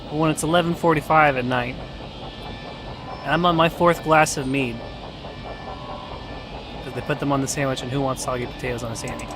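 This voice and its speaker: A young man talks loosely while he plays, stringing thoughts together with constant fillers and self-mocking jokes. His voice sits at an ordinary middle pitch, and steady game music plays clearly beneath him. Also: fairly quick, long pauses. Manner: rambling, filler-heavy, joking about drinking. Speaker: streamer. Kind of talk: casual gaming stream chat.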